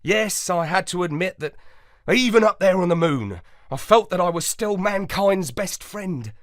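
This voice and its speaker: Guy being an earnest space dog telling kids a story, slow n animated, close dry sound. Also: high voice, no room sound, voice pushed up. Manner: expressive, earnest. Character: earnest, loyal male space dog telling his adventures. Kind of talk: scripted children's story narration.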